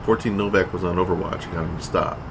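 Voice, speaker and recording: Deep, gruff checkpoint commander, played by a man, clipped military delivery, audio drama with clear ambient noise. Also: steady tone, quick pace. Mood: curt, commanding. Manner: curt, military radio phrasing. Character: gruff male checkpoint captain, commanding and short-tempered. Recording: noisy vehicle and outdoor ambience behind.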